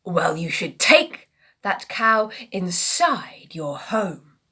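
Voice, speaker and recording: A woman doing a slow, wise old rabbi. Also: lowish voice, big pitch swings, sharp tone, slightly rough, voice pushed down, heavily acted. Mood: musing. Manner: slow, musing.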